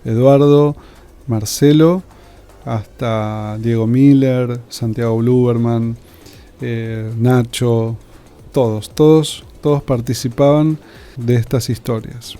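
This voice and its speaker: A man's voice: medium pitch, steady tone, slow pace, warm tone, clean tone, soft background music. A man with an Argentine accent reminiscing in an interview about his radio work. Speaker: radio scriptwriter.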